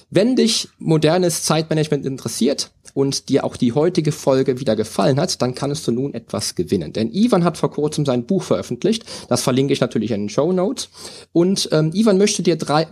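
A man's voice: medium pitch, darkish tone. A male podcast host with a mid-range voice who talks in a chatty, rambling way, often doubling back on his own sentences. He laughs easily and keeps things casual and friendly with his guest. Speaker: podcast host, personal trainer. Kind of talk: casual podcast chat and sign-off.

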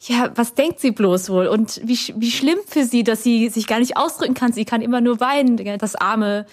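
A woman in her thirties who grew up in Germany, answering an interview question in a reflective way, pausing often with fillers and restarting her sentences. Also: fairly high pitch. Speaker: guest.

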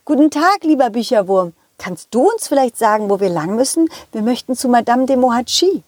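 A woman plays an upbeat little dog hero from a children's audio play. The voice is high and very lively, exclaiming and coaxing young listeners along, with a close, dry sound. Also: a little fast, no room sound. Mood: friendly, eager. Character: cheerful, confident, child-friendly talking dog hero.

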